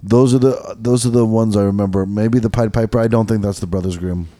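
Low-voiced man, meandering casual chat. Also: fairly even intonation, brisk, mellow. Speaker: podcast co-host. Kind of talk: casual banter.

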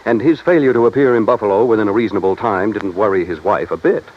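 An actor playing a matter-of-fact insurance man who explains things briskly in an old-time radio drama. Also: lowish voice, close to an everyday voice. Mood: businesslike. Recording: dull vintage radio drama recording.

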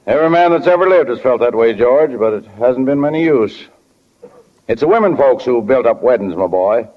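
A man's voice: mid-range voice, lively intonation, fairly quick, close to an everyday voice. An actor playing a kindly, flustered middle-aged small-town father in 1940s radio drama, hesitant and folksy, often fumbling for words. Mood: reassuring. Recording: dull, lo-fi 1940 radio recording.

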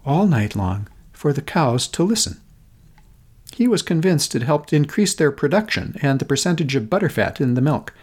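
A middle-aged American man narrates a scripted personal essay. His voice sits at a medium pitch. He tells it in a measured, literary way, with deliberate phrasing. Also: darkish tone. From Minnesota, United States. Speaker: podcast host.

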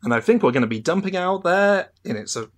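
A man's voice: high-pitched, expressive, quick pace. A man co-hosting a podcast in a casual, conversational style. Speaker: podcast co-host.

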